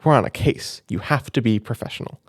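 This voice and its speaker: A man voicing a bold, matter-of-fact female rabbit detective from a storybook, brisk, insistent and teasing. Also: medium pitch, husky, no room sound, clean studio sound, close to an everyday voice.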